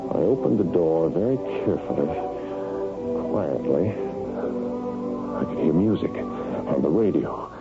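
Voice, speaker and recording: A man's voice: low-pitched, big pitch swings, slow pace, long pauses, highs cut off, full character voice. An actor playing a meek, nervous, suspicious husband in a radio drama, telling his own story and hesitant in speech. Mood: suspicious, brooding. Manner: stammering, hesitant when addressed. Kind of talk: old-time radio drama acting, first-person narration. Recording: band-limited radio broadcast with music behind.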